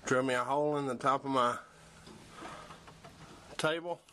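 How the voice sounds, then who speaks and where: A man's voice: medium pitch, steady tone, normal speed, pushed and bright. A young man giving a casual, plain-spoken hands-on tutorial in a matter-of-fact way, recorded on a camera's own mic. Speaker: host of a DIY preparedness channel. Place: outdoors in a yard and in a wooden workshop. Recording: noisy handheld camera audio.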